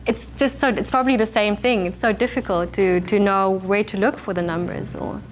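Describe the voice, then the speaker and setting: A woman lecturer who grew up in Zambia and South Africa answers questions from the audience. She is thoughtful and agreeable, sometimes restarting a sentence. A faint background noise sits under her medium-pitched voice. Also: steady tone, brisk, low-quality recording. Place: lecture room.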